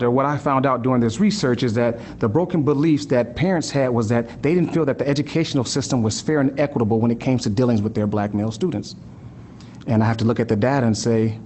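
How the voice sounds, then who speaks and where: An earnest man presents to a live audience at an ordinary, middle pitch. He leans on rhetorical questions and a habitual "right?", slowing down to stress key points. A faint low rumble sits under the recording. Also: darkish tone. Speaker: school district director giving a talk.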